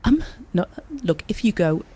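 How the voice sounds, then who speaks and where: An actress playing a thoughtful, questioning time-travel investigator in an audio drama, speaking earnestly. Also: female voice, on the low side, sing-song, normal speed, mellow, slightly rough. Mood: earnest, worried.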